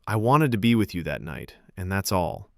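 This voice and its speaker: Narrator doing a gentle casual young guy in a romance story, apologetic type, lowish voice, dry sound. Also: big pitch swings, normal speed, creaky, no room sound, heavily acted.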